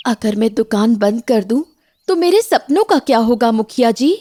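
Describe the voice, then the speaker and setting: A woman's voice: on the high side, animated, normal speed, mellow. A woman voicing an earnest, determined young village shopkeeper in a cartoon, respectful and pleading.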